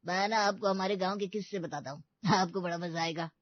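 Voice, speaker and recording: A woman's voice: on the low side, fairly quick, no echo, muffled recording, natural voice. A woman voicing a hospitable, eager older village uncle in a Hindi comedy cartoon. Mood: jovial, insistent.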